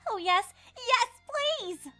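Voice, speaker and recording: A woman's voice: very high voice, animated, takes it slowly, bright, forceful tone, soft background music, pitched above the performer's own voice, heavily acted. A woman voicing an eager, bookish young female pony student from a storybook, in an earnest manner.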